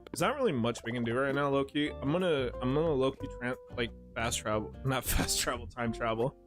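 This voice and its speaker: A man's voice: mid-range voice, fairly quick, faint music behind. A man streaming a game, chatting casually with his chat, rambling and joking with lots of swearing. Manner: rambling, profane, jokey storytelling.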